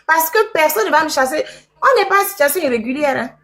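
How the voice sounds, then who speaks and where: A woman's voice: sits high, expressive, quick pace, a bit bright. A middle-aged woman from Togo, a lawyer and activist, insisting and repeating her points in a heated group discussion.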